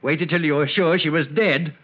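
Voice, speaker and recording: A man playing a stern, shrewd family doctor in a 1940s radio drama, speaking deliberately and probingly. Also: on the high side, fairly even intonation, lightly acted. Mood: stern, accusing. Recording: muffled 1940s radio broadcast recording.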